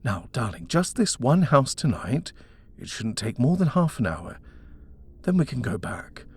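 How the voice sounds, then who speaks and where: A man playing an animated, coaxing husband. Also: mid-range voice, a little slow, breathy edge, voice pushed up, heavily acted. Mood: coaxing, persuasive. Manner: persuasive, animated, indulgent. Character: eager, coaxing young British husband, smooth-talking house-hunter. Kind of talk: storybook character reading.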